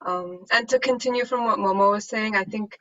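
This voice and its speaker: A young woman student speaks in a panel talk, hesitant and full of fillers. Her voice sits at a middle pitch. The recording is dull and lo-fi. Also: fairly quick, fairly bright tone. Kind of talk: panel discussion. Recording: muffled low-fidelity recording.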